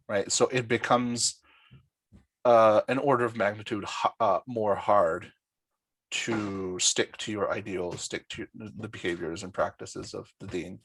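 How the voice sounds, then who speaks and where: Low-voiced man, explaining things with a dry sound. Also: fairly bright tone, husky, no echo. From Dubai. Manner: explanatory, often ends points with "right?". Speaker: podcast guest. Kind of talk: casual conversational interview.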